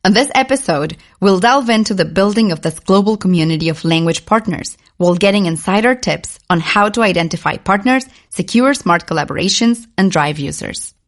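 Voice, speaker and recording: A woman's voice: mid-range voice, expressive, a little fast, few pauses. Upbeat woman podcast host. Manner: upbeat and eager. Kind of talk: scripted intro and interview questions.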